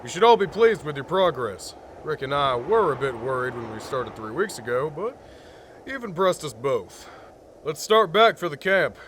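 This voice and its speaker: A man's voice: fairly high pitch, big pitch swings, normal speed, fairly bright tone, slight background noise. Confident survivor shooting instructor, a man acting. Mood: commanding. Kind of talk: comic dub voice acting. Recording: faint ambience and gunfire behind.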